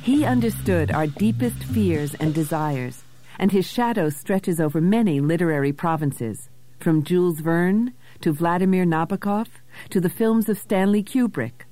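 A woman narrator delivering scripted literary commentary in a measured, literate way. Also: lowish voice, mellow, soft background music, low-quality recording. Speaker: narrator introducing poetry.

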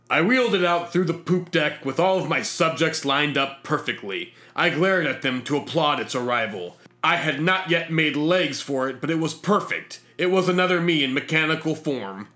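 A man's voice: fairly high pitch, expressive, normal speed, hardly any pauses, pushed and bright. A man narrating a comic sci-fi story in a pompous, self-important, theatrical manner. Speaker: narrator reading a fictional diary.

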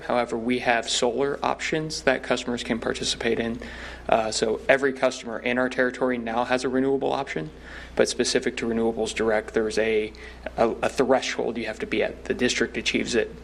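A man's voice: mid-range voice, creaky, slight background noise. A man from a utility company giving a matter-of-fact statement for the record at a public meeting.

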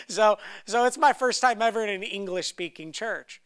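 Young male preacher, Romanian first language, high bright voice, clear and dry, fervent, stutter-starts. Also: unhurried, dry recording. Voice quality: repeats words when restarting. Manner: builds to loud fervent preaching. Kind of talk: sermon with personal story.

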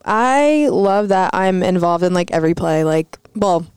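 A woman's voice: fairly low pitch, animated, unhurried, darkish tone, clean tone, no echo. Giggly young woman, dry close sound. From Connecticut. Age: young adult. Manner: giggly, casual, filler-heavy. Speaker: college softball first baseman.

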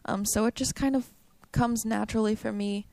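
A young woman answers questions about her running with a gravelly, creaky voice. Her pitch stays flat and even while she chats on easily. The room sounds dry and close. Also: normal speed, no room sound. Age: young adult. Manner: chatty, upbeat, frequent "um" and "like". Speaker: college cross country runner. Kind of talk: interview answers.

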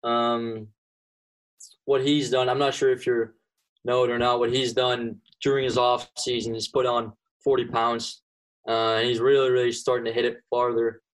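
A man's voice: medium pitch, moderate pace, rough recording. Young man, casual interview. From Belgium. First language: Dutch (Flemish). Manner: relaxed, many ums and restarts. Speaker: golfer being interviewed.

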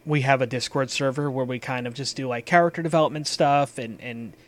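Halting, informal man hosting a casual chat. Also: medium pitch, steady tone. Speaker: host and game storyteller. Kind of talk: casual chat about a tabletop role-playing campaign.

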